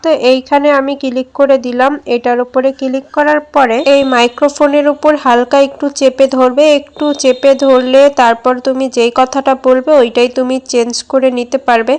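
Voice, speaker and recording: A woman with a fairly high voice and very little pitch movement walks through a screen tutorial. She explains each step informally, often repeating it, in a casual host's manner. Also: level tone, normal speed, hardly any pauses, darkish tone, smooth. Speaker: tech tutorial host.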